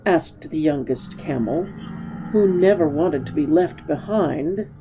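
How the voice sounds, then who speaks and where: A woman's voice: sits low, lively intonation, measured pace, muffled recording, noisy background. A woman storyteller giving a dramatic reading of a story.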